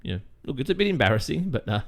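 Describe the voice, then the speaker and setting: An Australian man playing a sweetly possessive, delusional kidnapper boyfriend in an intimate ASMR roleplay, speaking haltingly with hesitant murmurs. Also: mid-range voice, sing-song, vocal fry. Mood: sheepish. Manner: halting, drawn-out phrasing with hesitant murmurs and nervous chuckles. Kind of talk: ASMR roleplay, intimate monologue addressed to listener.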